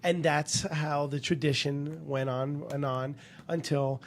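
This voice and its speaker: A man's voice: on the high side, steady tone, slow pace, slight background noise. A man who is a guest on a radio talk show, telling about family traditions in an earnest way. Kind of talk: casual studio chat.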